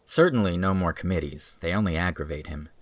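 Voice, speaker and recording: A man's voice: deep voice, brisk. A man playing an earnest, teasing young village schoolteacher in audiobook dialogue, articulate and enthusiastic. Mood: brisk, planning.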